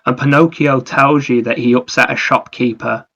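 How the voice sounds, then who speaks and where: A man's voice: medium pitch, fairly even intonation, moderate pace, sharp tone, dull audio. A man chatting casually about video games as a podcast host, in a rambling, anecdotal way.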